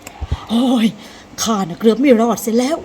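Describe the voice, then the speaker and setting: Woman doing a flustered, high-voiced cowardly tiger. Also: unhurried, warm tone, a little hiss or hum, lightly acted. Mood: frightened. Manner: flustered, defensive. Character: big cowardly, gullible, suspicious tiger.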